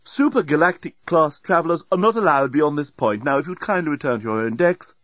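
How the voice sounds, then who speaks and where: A snobbish, officious robot servant from a comic storybook, acted out by a man. He speaks with cold, stiff formality and sniffy disdain. The pitch swoops about and the recording is dry. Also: lively intonation, fairly quick, a bit bright, dry recording, muffled recording. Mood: haughty, sniffy.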